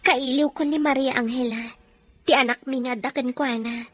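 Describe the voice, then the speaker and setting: High, husky, lovelorn woman played by an actress. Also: fairly even intonation, normal speed. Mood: yearning. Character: lovelorn, longing mother separated from her beloved. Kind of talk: Ilocano radio-style drama acting.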